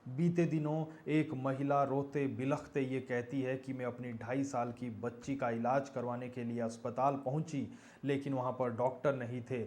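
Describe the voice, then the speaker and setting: A man's voice: medium pitch, little pitch movement, normal speed, a little mellow, slight background noise. A man presents news commentary to viewers, stressing key phrases and posing rhetorical questions.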